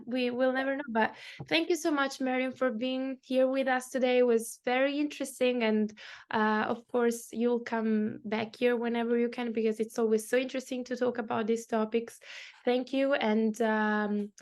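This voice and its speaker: A woman's voice: on the high side, darkish tone. Italian woman hosting a chatty podcast. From Italy. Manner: chatty, laughs often, many fillers. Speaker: podcast host. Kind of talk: casual interview chat.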